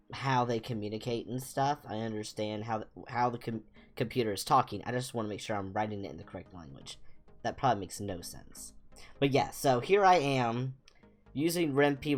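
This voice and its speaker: A young man chatting casually as a livestream host, rambling informally with a self-deprecating manner. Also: medium pitch. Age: young adult. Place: small home room, indoors.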